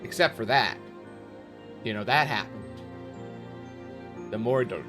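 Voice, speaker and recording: A man's voice: mid-range voice, animated, unhurried. A man giving casual, jokey reaction commentary as a streamer. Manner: jokey, sings nonsense syllables and exclaims. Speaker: streamer reacting to a fan dub. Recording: music behind the voice.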